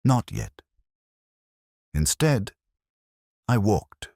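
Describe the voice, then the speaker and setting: A man playing a brooding, erudite English detective who narrates a mystery in measured, introspective, literary phrases with a husky voice. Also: extremely low pitch, dry recording, studio quality, full character voice. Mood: brooding, reflective. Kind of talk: scripted first-person mystery narration.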